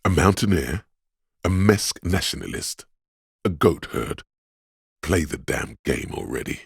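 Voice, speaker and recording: A sly inner voice in a video game, super low n husky, close dry sound, cool and goading, savors every word. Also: male voice, lively intonation, measured pace, no echo, heavily acted. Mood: goading, eager. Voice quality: very deep, gravelly, husky. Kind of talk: dramatic second-person game narration.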